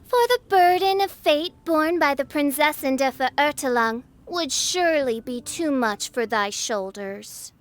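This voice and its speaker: Grandiose teen dark princess, high pitch, archaic, theatrical, pompous, video game character. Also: female voice, unhurried, hardly any pauses, a little hiss or hum. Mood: indignant. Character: grandiose theatrical teenage girl, self-styled dark princess.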